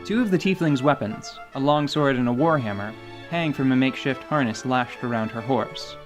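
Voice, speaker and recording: A narrator describing action in a fantasy radio drama with even, descriptive delivery. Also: mid-range voice, normal speed, music in the background.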